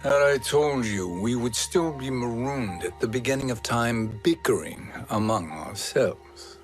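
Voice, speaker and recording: A man's voice: mid-range voice, lively intonation, a little slow, faint music behind, lightly acted. An actor playing a smug, treacherous male sorcerer in a video game, with a silky, self-satisfied delivery. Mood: explaining. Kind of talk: dramatic video game villain acting.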